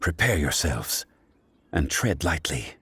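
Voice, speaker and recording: An actor playing a composed, dignified man in a video game, measured, formal and quietly commanding. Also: a bass voice, big pitch swings, husky, no echo, full character voice.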